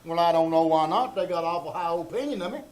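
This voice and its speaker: Man playing a pompous, high-pitched Southern country fellow. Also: fast talker, crowd noise, close to an everyday voice. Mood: self-important. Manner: folksy, pompous, blustering drawl. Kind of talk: old-time radio comedy acting, live reenactment. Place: small theater stage before audience. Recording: live small theater stage, background noise.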